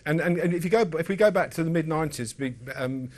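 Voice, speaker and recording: Guy hosting a casual sports interview, voice a bit high, stumbles and repeats words. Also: fast. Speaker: interview host.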